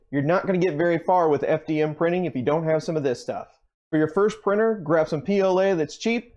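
A young man hosting a channel, briskly running through a list of tools in a scripted, upbeat way with dry jokes, recorded in a basement workshop. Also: on the high side, a little mellow. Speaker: channel host. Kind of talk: scripted tool rundown.

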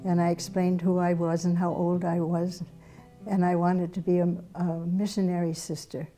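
Low, mellow older woman. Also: flat delivery, normal speed, faint music behind. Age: 80s. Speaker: retired nun. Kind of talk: documentary interview. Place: indoor room with bookshelves.

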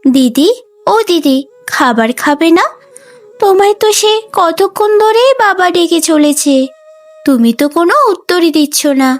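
Sweet caring kid sister, grown girl, cartoon, high plaintive voice. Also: expressive, a little slow. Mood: concerned. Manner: earnest, plaintive. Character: gentle, caring young younger sister. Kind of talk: Bengali cartoon voice acting.

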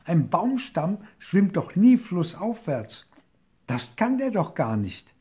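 A man voicing a cheeky, playful little deer who teases and tricks, calling out in a high, gleeful voice in a storybook reading. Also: flat delivery, drawn-out words, warm tone. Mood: gleeful mocking. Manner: high, gleeful, teasing calls.

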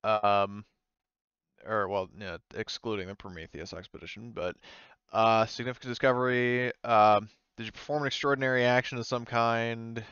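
Low, smooth-voiced man, slightly muffled. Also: fairly even intonation, normal speed, a bit bright, no echo. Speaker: game master. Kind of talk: casual tabletop game session talk.

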